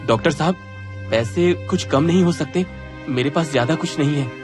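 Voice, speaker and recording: A caring young stepson from a cartoon moral tale, voiced by a man. He speaks earnestly and with concern, in a slightly high male register. Music is clearly audible underneath. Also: normal speed, lightly acted. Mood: urgent. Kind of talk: Hindi moral-story cartoon dubbing.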